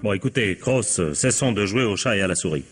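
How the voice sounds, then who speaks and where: A shrewd, dry police inspector from an old-style radio mystery, acted by a man. His voice sits low. He questions with wry authority and keeps pressing. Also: brisk, over loud music, lightly acted. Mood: confrontational. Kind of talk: vintage radio mystery acting.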